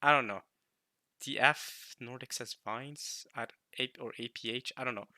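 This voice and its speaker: A man's voice: mid-range voice, normal speed, pushed and bright, no echo, clean studio sound. Rambling Norwegian man reacting to anime. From Norway. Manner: rambling, self-interrupting, laughing often. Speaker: reaction video host. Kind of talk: casual reaction commentary.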